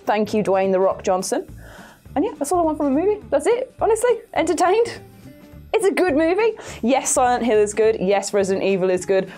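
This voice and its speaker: A woman's voice: high-pitched, very animated, fairly quick, dark tone. Female presenter, informal and playful, keen, loose-tongued film chat, light music bed underneath. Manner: playful, sweary, enthusiastic. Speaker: video game show presenter. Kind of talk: casual film opinion chat.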